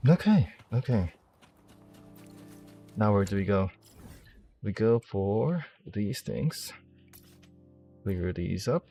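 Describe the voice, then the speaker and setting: A man's voice: fairly low pitch, expressive, takes it slowly, long pauses, dark tone, soft background music. A man playing a video game and commentating casually, rambling and thinking aloud in a self-deprecating way. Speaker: streamer, game player.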